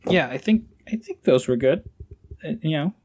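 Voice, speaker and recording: A man's voice: a little high, lively intonation, moderate pace, darkish tone. A man in a casual remote chat as a podcast guest and voice actor, agreeable and self-deprecating. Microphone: internet call.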